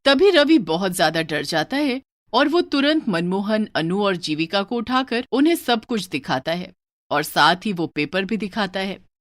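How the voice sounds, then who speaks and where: A woman's voice: medium pitch, animated, unhurried, no echo, studio quality. A woman narrating a scripted horror story.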